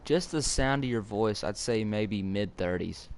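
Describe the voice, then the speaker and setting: Teenage boy with a low voice. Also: unhurried, a little hiss or hum. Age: teens. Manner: rambling, repeats words when starting sentences. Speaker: gaming video host. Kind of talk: casual gameplay chat with viewers.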